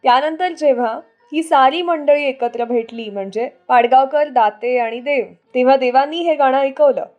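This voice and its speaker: High-voiced woman radio host over music. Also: moderate pace, hardly any pauses, muffled recording. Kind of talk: scripted radio storytelling.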